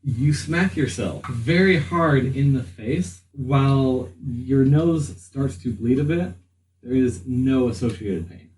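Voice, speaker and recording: A man's voice: medium pitch, slow, distant mic. A man runs a tabletop game with casual narration. He takes his time and sits back from the mic in an echoey room with faint noise. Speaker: game master. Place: indoor room with other players.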